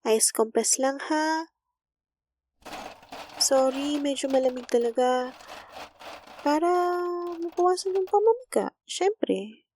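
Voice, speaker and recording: Woman playing a slow, gentle Filipino school nurse, teasing. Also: high voice, expressive. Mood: caring, gentle. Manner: soft, intimate, teasing, coaxing. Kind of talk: romantic ASMR roleplay.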